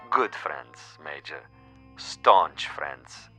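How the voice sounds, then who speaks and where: A low, slow and expressively swooping voice as a suave older commissar in a radio play. He is urbane and theatrical, savouring killing as an art with silky, patient menace. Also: sharp tone, full character voice. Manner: silky, urbane, deliberate, theatrical, mockingly polite. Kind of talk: radio drama acting.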